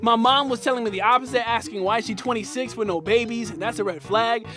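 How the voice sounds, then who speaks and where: A man's voice: extremely high pitch, fast talker, pushed and bright, soft background music. Young man telling a funny story. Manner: playful, slangy, punchy delivery. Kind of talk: comedic personal storytelling.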